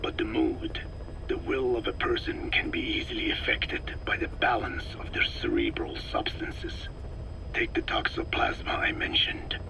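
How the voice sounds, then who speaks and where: An actor playing an elderly, erudite male scientist in a video game, speaking in a breathy rasp with slow, deliberate, grave explanations. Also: on the low side, huge pitch swings, background noise, full character voice. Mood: somber, explanatory. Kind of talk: video game audio drama acting.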